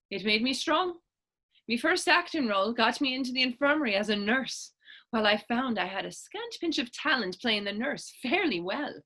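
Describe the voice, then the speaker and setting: Bright-voiced plucky Irish orphan girl, acted by a woman. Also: a little high. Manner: storytelling in lilting old-fashioned Irish idiom. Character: plucky orphaned Irish teenage actress, tough and proud. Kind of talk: stage readers theatre acting in dialect.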